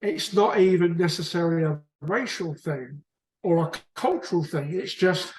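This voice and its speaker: A man's voice: fairly high pitch, moderate pace, echoey room. A man from the UK with a British accent, chatting casually in a book club discussion, rambling and often restarting his sentences. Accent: British English.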